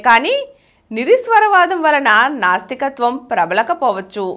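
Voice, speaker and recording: A woman reading an essay from a script in a measured, didactic manner. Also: a little high, fairly bright tone. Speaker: audiobook reader.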